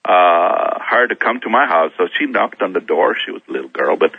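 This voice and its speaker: A middle-aged man from Kenya, a guest on a talk show, telling his own story in a halting way, often restarting his words. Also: deep voice, expressive, gravelly, breathy edge, no room sound. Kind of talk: personal testimony in a talk show interview. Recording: thin phone-like sound, dry.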